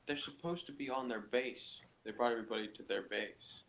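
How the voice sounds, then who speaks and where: A man chatting casually on a review panel in a hesitant, halting way. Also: medium pitch. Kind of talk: casual chat. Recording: dull lo-fi audio with hiss and clicks.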